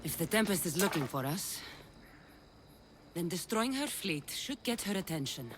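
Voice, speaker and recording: A woman's voice: fairly low pitch, sing-song, moderate pace. A woman playing a bold, wry mercenary warrior of ancient Greece in a video game cutscene, speaking with confident command. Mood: determined.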